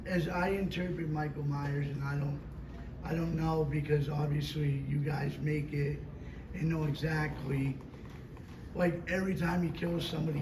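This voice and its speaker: A man in the audience asking an actor a long, rambling question, a bit hoarse from allergies. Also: fairly high pitch. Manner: rambling, loose phrasing. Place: convention hall. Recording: roomy, distant, noisy hall recording.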